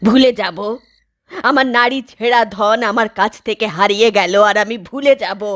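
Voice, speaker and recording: A woman's voice: a little high, takes it slowly, a bit bright. A woman voicing a grieving, affectionate old village aunt in a cartoon, with a plaintive, homely manner. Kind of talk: cartoon voice acting.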